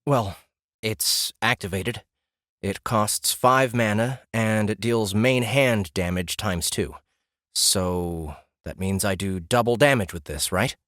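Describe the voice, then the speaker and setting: A man's voice: lowish voice, slow pace, fairly bright tone, no echo, studio quality. A man voicing an uncertain, ordinary man stranded in a game world, speaking hesitantly in an audiobook. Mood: unsure, explaining. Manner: hesitant, tentative. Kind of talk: audiobook character acting.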